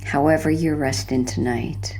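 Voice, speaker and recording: A woman with a low, gravelly-edged voice leads a guided sleep relaxation. Her delivery is gentle and hushed, with soft creak at the ends of phrases. There is faint noise behind her. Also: fairly even intonation, moderate pace, low-quality recording. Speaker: hypnotherapy narrator.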